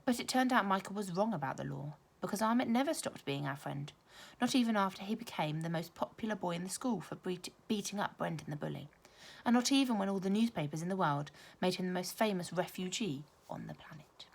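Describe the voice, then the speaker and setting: A woman teacher reading a children's story aloud, with expressive, emphatic storytelling. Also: lowish voice, fairly quick, vocal fry.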